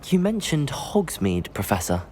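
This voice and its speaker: A man's voice: a little high, very animated, unhurried, a little mellow, slight background noise. A young male actor playing a polite, guarded teenage wizarding student in a video game, with an earnest delivery. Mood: polite, curious. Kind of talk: scripted video game dialogue. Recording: music under the dialogue.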